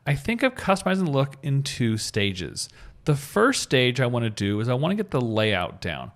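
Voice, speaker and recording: Man teaching, very lively pitch. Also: moderate pace. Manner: informal, thinking aloud. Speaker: web coding instructor. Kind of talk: screencast tutorial, talking through steps.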